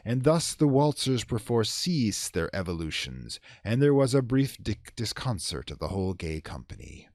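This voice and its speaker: A man narrating a classic gothic horror tale in a theatrical, measured, formal storytelling style. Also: low-pitched, no echo, studio quality. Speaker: audiobook narrator.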